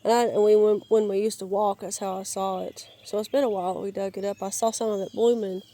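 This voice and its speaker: Woman, hoarse and crackly from a cold, flat mellow delivery, halting outdoor phone walk-and-talk, birds in background. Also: mid-range voice. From Georgia, US. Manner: halting, searching for words, many restarts. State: raspy, crackly voice while getting over a cold. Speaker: gardener giving a yard tour. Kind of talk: casual rambling walk-and-talk. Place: outdoors in a wooded yard. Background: birds and outdoor ambience.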